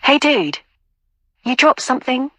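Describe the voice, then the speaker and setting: A woman's voice: high-pitched, sing-song, a little slow, bright, forceful tone, dry recording, clean studio sound, full character voice. A woman playing a brash, irritable twenty-seven-year-old in an audio play, blunt and sarcastic. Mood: curt, casual.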